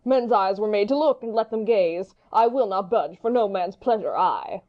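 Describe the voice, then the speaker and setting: Hot-headed young wit played by a woman, mid pitch, theatrical mockery, classical stage verse, slightly dull recording. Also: animated, moderate pace, lightly acted. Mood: mocking, defiant. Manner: taunting, theatrical, verbose. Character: hot-headed, mocking, witty young male swordsman. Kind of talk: Shakespeare audiobook dramatic reading.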